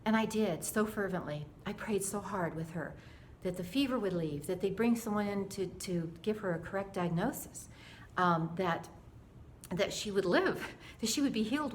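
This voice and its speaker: A mature woman telling a personal faith story in an earnest, dramatic, animated way, acting out the dialogue as she goes. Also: mid-range voice, a little hiss or hum.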